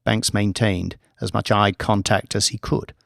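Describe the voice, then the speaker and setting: A man's voice: lowish voice, flat delivery, a little slow, gravelly, a bit bright, no echo. Dry-recorded male audiobook narrator. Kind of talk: scripted fiction narration.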